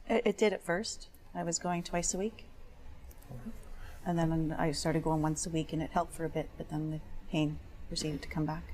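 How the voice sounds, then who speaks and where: A woman's voice: sits low, steady tone, moderate pace, a little mellow, close to an everyday voice. A woman playing an injured typist giving plain, guarded and hesitant testimony. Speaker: claimant being examined. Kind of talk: staged legal testimony.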